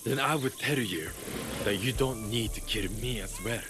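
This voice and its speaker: A man's voice: mid-range voice, moderate pace, noisy background, lightly acted. An actor playing a wary, easygoing hired male dock guard in a video game, with a wry manner. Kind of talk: scripted video game cutscene acting.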